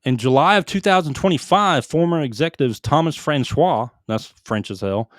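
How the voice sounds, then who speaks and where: A man's voice: fairly high pitch, expressive, normal speed, no room sound, studio quality. Man reading gaming news on a podcast. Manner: reads headlines aloud with asides and stumbles on names. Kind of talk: casual gaming podcast chat.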